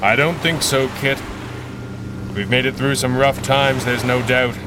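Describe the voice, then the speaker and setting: A man's voice: medium pitch, fairly even intonation, a little slow, lightly acted. Man playing a cool-headed masked hero, noisy background. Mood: pensive, grave. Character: Red Panda, cool-headed masked 1930s male superhero. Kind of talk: 1930s radio serial style acting. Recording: clearly noisy background ambience.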